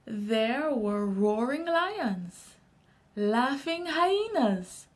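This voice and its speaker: A woman with a Jamaican accent reading a children's story aloud in an expressive, unhurried way. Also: a little high.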